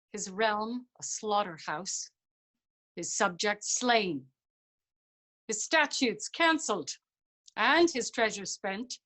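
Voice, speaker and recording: A woman playing a grieving young prince in a staged reading of verse drama, with formal, deliberate delivery. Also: on the high side, a bit bright. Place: remote video call.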